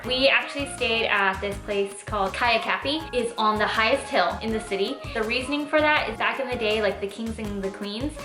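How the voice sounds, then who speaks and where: A Californian woman in her twenties chatting casually in a Q&A vlog, informal and emphatic. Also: a little high. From Orange County, California. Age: 20s. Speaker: travel vlogger and nurse. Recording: echoey room with faint music.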